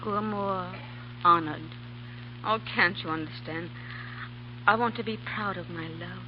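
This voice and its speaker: A woman's voice: lowish voice, measured pace, background noise, natural voice. An actress playing an earnest young lady in love. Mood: pleading. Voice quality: earnest, pleading. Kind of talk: 1930s radio drama acting. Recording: dull old radio broadcast recording.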